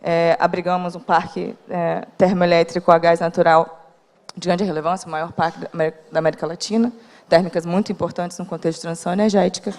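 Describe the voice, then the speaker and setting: Low, flat-voiced woman in a reverberant hall. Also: fast talker. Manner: formal, hesitant fillers. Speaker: port company representative. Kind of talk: panel presentation. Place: conference hall.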